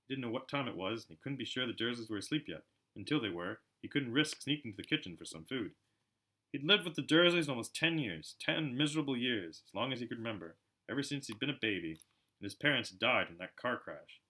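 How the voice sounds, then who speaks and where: A man reading a storybook aloud as an amateur narrator, in an expressive style. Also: on the low side. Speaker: amateur audiobook reader.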